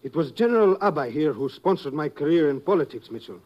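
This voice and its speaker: A man's voice: a little high, animated, quick pace, a little mellow, muffled recording. An earnest, embattled statesman. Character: troubled male head of a government, proud but cornered. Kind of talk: 1950s radio drama acting.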